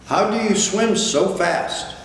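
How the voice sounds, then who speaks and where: A man's voice: high voice, takes it slowly, voice pushed up. An older man voicing a curious, trusting young male whale calf with earnest questions in a storybook read-aloud. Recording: distant, very echoey hall, faint noise.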